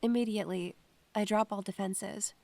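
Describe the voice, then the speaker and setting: Actress as melancholy student girl, heavy vocal fry, mid pitch, introspective, measured audio drama delivery. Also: fairly even intonation, dark tone, no echo, lightly acted. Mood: wistful, brooding. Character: melancholy, introspective young female student who hears spirits. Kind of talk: audio drama narration and acting.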